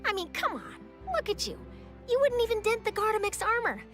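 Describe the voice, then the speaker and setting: A woman's voice: extremely high pitch, sing-song, a little fast, a bit bright, soft background music, full character voice. A woman voicing an eager, earnest and articulate young female journalist in a video game. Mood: alarmed. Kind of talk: English video game voice acting.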